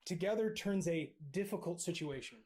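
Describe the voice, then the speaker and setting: Man, fairly high voice, measured sermon delivery in brief phrases. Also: expressive, a little mellow. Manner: measured, pausing after each phrase. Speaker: evangelist. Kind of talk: sermon in short phrases for an interpreter.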